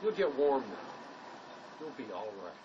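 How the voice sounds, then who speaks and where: An actor playing an earnest, soft-hearted man on the run, plain-spoken and caring, in dramatic dialogue. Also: sing-song, slow, dull audio. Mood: gentle concern. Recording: noisy recording with rumbling ambience.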